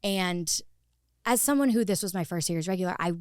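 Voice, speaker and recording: Lower-pitched woman, chatty interview guest. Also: fairly quick, clean tone, no room sound, studio quality. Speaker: podcast guest, actor turned director. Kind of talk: conversational interview storytelling.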